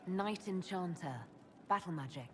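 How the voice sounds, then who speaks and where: A woman playing a composed, kindly mage leader in a video game, measured and gently teasing. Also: fairly low pitch, a little hiss or hum, lightly acted. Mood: calm, curious. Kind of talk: video game character acting.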